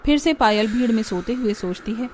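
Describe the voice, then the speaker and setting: A woman's voice: fairly high pitch, a little fast, darkish tone, a little hiss or hum. A woman narrating a cartoon story in plain, scripted storytelling.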